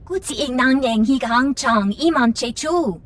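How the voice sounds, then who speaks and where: A woman's voice: high voice, expressive, a little slow, a little hiss or hum. A woman voicing an alien creature in a video game, speaking in an invented alien tongue. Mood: urgent. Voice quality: alien, distant gibberish.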